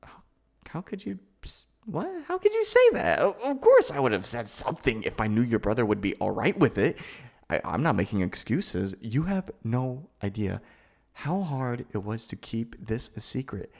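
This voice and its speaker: A man acting a nervous, awkward, lovestruck young man in a gentle roleplay, with hesitant, stammering delivery. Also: medium pitch, huge pitch swings, moderate pace, vocal fry, full character voice. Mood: hurt, disbelieving. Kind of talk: ASMR boyfriend roleplay acting.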